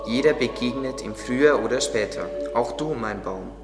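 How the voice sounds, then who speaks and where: A man's voice: mid-range voice, moderate pace, lightly acted. A man playing a kindly, devout gardener who explains things earnestly in an audio drama. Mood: earnest, explanatory. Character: devout, kindly male gardener, patient teacher. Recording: echoey, long reverb, under music. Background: music.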